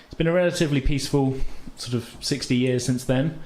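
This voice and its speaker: A man's voice: medium pitch, a little fast, a little mellow. A man hosting a tabletop role-playing game as game master, narrating in a casual, loosely improvised way. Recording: noisy room ambience.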